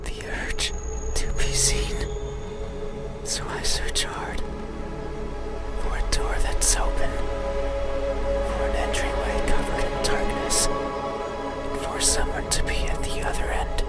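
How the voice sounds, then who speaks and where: A lonely, creepy creature acted by a man murmurs intimately to the listener in an ASMR horror monologue. The voice is whispered and halting, drawn out very slowly with a hungry yearning. Loud, eerie music plays behind it. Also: natural voice. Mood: eerie, wistful. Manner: hushed, slow, halting, intimate direct address. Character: creepy, lonely monster that slips into homes, yearning. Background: loud scary music.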